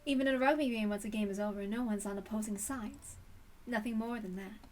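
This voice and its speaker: A woman's voice: medium pitch, fairly quick, a little mellow, far from the mic, a little hiss or hum, natural voice. Brash teasing mother, played by a woman reader. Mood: matter-of-fact. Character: brash, confident mother, teasing, matter-of-fact.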